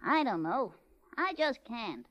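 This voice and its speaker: A man's voice: sing-song, slow pace, bright, forceful tone, highs cut off, heavily acted. Tiny piping-voiced showman. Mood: defensive, dejected. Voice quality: small high-pitched voice. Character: male midget showman, anxious, defensive, high piping voice. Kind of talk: 1940s radio acting.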